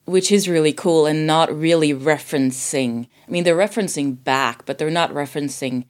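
A woman's voice: sits low, moderate pace. A woman co-hosting a film podcast in casual, conversational talk. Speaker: podcast co-host.

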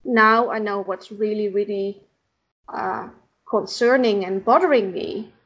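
A Dutch woman with a Dutch accent, a podcast guest who speaks in a halting, thoughtful way while searching for words. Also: medium pitch, a bit bright, dull audio. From Netherlands. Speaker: podcast guest, founder of an organization. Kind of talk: casual interview conversation.

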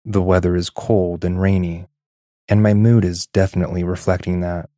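Very deep, dark-toned male narrator. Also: flat delivery, normal speed, no echo, clean studio sound. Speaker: podcast narrator reading listener stories. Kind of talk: scripted narration.

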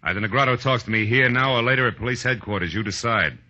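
An actor playing a tough, wisecracking American private detective in a 1940s radio drama, with a wry, clipped, hard-boiled delivery. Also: male voice, mid-range voice, fast talker, pushed and bright. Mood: hard, insistent. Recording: dull 1940s radio recording, faint music.

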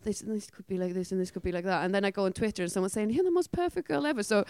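A woman's voice: mid-range voice, very fast, dark tone, clean tone, dry recording. A woman from Iceland whose first language is Icelandic, speaking English with an Icelandic accent in casual chat, laughing often. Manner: laughs often, quotes herself in a raised voice.

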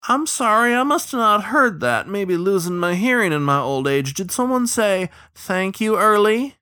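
Smug old killdeer riverboat hand, high-pitched. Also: male voice, sing-song, moderate pace, bright, forceful tone, dry recording, studio quality, full character voice. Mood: mock-sweet teasing. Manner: folksy, boastful drawl.

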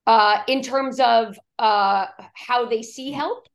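A woman executive coach, hoarse from a cough, talking in a podcast interview and acting out quoted speech as she tells stories. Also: on the high side, slow, highs cut off. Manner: animated storytelling, acts out quoted speech.

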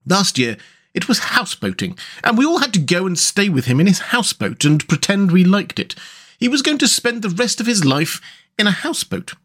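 A man plays a chatty gentleman river rat from a storybook. The voice is lifted and swoops about, genial and hearty in an old-fashioned way. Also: high voice, very animated, a little fast, slightly rough, voice pushed up, exaggerated voice. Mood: exasperated, gossipy. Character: sociable, chatty male river rat, friendly storybook animal.

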